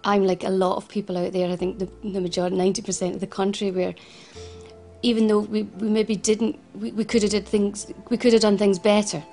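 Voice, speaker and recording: A woman's voice: medium pitch, flat delivery, fairly quick, warm tone, faint music behind. A woman in her forties with a Glasgow accent, speaking earnestly in an interview and often restarting her sentences. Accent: Scottish, Glasgow. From Scotland. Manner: earnest, frequent restarts and repetitions. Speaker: founder of a financial advice service. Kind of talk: personal interview testimony.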